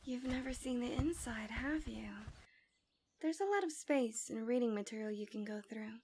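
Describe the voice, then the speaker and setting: A woman playing a manipulative villainess in an ASMR roleplay, tenderly soothing her captive in a gentle, coaxing, breathy voice that slips into whispers. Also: on the high side, moderate pace, a little hiss or hum, close to an everyday voice. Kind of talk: ASMR roleplay, intimate soft speech slipping into whispers.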